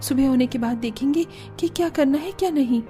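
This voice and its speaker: Casting: actress as anxious young village wife for a cartoon, high, mellow, earnest. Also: female voice, brisk, soft background music, lightly acted. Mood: worried. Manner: earnest, plaintive. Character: distressed young village daughters-in-law, pleading and worried. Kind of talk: cartoon drama acting.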